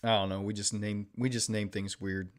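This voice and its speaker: A man with a mostly Midwestern American accent and a faint Texan twang talks in a relaxed, easygoing way. He reads viewer comments aloud and answers them in casual live-stream chat, his voice sitting a little low. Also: normal speed. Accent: mostly Midwestern American, slight Texan. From Illinois, later Texas. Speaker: day trader streaming live.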